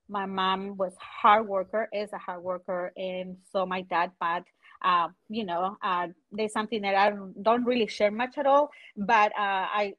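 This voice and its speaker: Chilean lady in her 40s, english not her first language, lots of uh and um, talking slow and open. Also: medium pitch, a bit bright, highs cut off. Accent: Chilean Spanish. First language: Spanish. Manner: halting, searching for words, frequent fillers. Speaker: guest entrepreneur. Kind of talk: casual interview.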